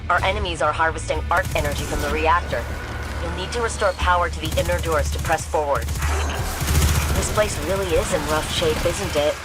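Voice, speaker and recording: A woman's voice: sits low, brisk, lightly acted. An actress playing a driven, wry woman scientist who guides a hero in a video game, casual and encouraging. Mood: focused, alert. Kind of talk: scripted video game dialogue. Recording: music behind the voice.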